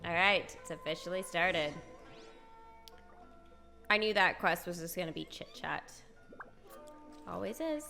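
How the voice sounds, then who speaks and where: A woman's voice: fairly low pitch, measured pace, creaky, pauses often. A woman streaming a video game with casual, chatty, giggly commentary. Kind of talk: casual playthrough commentary and reading along. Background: soft game music.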